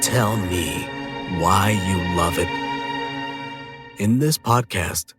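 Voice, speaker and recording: A man delivering a scripted horror podcast promo as its host, deliberate, inviting and dramatic. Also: deep voice. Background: music.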